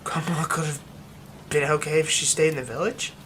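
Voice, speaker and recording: A man's voice: fairly high pitch, fairly even intonation, a little fast, slight background noise, lightly acted. A man playing a bitter, volatile recluse. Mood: bitter, scornful. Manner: soft half-whispered talk breaking into bitter, scornful outbursts. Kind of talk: ASMR audio roleplay.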